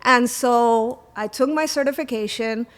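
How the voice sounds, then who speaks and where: A middle-aged woman from Venezuela speaks in a slightly high voice. She tells her story casually as an interview guest, animated and emphatic in her delivery. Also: unhurried. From Venezuela, moved to the US at age eight. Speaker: podcast guest, lash artist and educator. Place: guest in the host's home.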